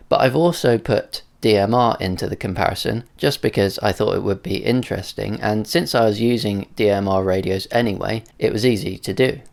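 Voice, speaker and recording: A man narrating an explanatory tech video in a measured, matter-of-fact way. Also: lowish voice, creaky. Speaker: presenter of a radio tech video.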